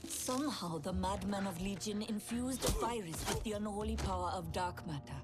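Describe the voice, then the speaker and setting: A woman's voice: mid-range voice, normal speed, over loud music, close to an everyday voice. A woman playing a vengeful, determined immunologist turned fighter in a video game, earnest and intense. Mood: solemn determination. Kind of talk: scripted dramatic narration.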